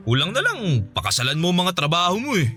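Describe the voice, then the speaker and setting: A man's voice: sits high, huge pitch swings, fast, sharp tone, faint music behind, heavily acted. An actor with a Filipino accent playing a teasing, sociable friend, a small business owner and single father, in casual radio drama banter. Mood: teasing, encouraging. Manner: chatty, teasing, chuckling buddy talk.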